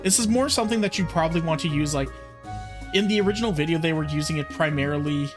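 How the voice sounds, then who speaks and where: A host reacting to an art video and chatting casually about drawing techniques, with relaxed, rambling delivery and plenty of fillers. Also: mid-range voice, quick pace. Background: background music.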